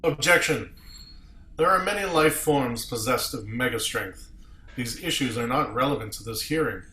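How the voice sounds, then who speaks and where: Guy reading starship captain defense lawyer, earnest n forceful, bright voice, echoey room, sci-fi table read. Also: medium pitch, animated, moderate pace. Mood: objecting. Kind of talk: amateur table read of a sci-fi courtroom script. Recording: echoey room with background noise.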